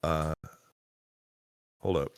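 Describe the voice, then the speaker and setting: A man's voice: extremely low pitch, steady tone, drawn-out words, clean tone, no room sound, studio quality. A man chatting casually between lines as a voice actor at a table read.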